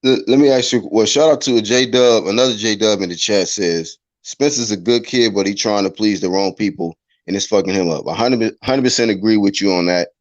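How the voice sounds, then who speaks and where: A man's voice: lowish voice, fairly quick, hardly any pauses, no room sound, highs cut off. A man hosting a casual online talk show, arguing bluntly and reading out viewer chat. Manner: blunt, argumentative, reads chat. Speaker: show host. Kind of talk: heated casual debate.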